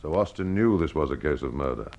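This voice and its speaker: A man's voice: extremely low pitch, very animated, quick pace, vocal fry, darkish tone. A man hosting and narrating a scripted detective mystery. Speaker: host and storyteller.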